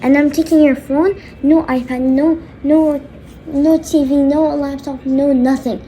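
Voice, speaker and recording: Stern angry dad played by a woman, high voice, blunt emphatic scolding, homemade skit, noisy recording. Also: measured pace, dark tone. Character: stern, angry father scolding his spoiled daughter. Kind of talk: homemade skit role-play.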